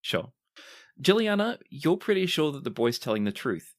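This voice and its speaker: A man's voice: fairly high pitch, very animated, normal speed. A man improvising narration as the game master of a tabletop roleplaying podcast.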